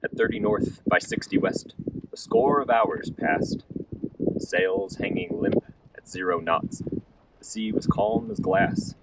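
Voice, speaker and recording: Low-pitched male storyteller, theatrical rhymed verse, gravelly, widely swinging intonation, noisy recording. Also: wildly swooping pitch, takes it slowly, far from the mic. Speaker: narrator reciting a sea ballad. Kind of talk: dramatic rhymed ballad recitation.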